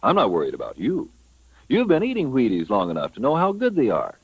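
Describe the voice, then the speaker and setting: A man's voice: mid-range voice, wildly swooping pitch, a little fast, husky, dry recording, low-quality recording. An American man delivering a vintage radio sponsor spot in a folksy, confiding, chatty way. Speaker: radio advertising announcer.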